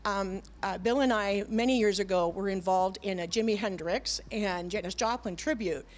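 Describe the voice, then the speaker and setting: Chatty middle-aged woman, animated. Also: mid-range voice, normal speed. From Canada. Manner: chatty, animated, many fillers and restarts. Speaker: band vocalist, guest. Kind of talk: casual chat. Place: TV studio with audience.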